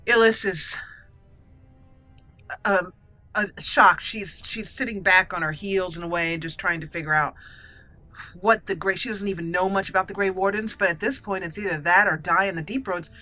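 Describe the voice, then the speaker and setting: A woman's voice: mid-range voice, normal speed, bright, forceful tone, faint music behind. A woman giving roleplay commentary on a video game, thinking aloud as she goes. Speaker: gaming channel host.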